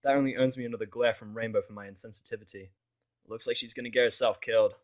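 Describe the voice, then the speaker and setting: A man narrating a fan fiction audio drama in the first person, expressive and wry. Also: mid-range voice, quick pace, muffled recording.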